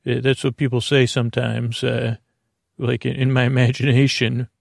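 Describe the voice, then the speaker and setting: A man with a creaky voice hosting a sleep podcast, rambling through a meandering, hesitant bedtime monologue full of halting digressions. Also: mid-range voice, flat delivery, a little mellow, no room sound, studio quality. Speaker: podcast host.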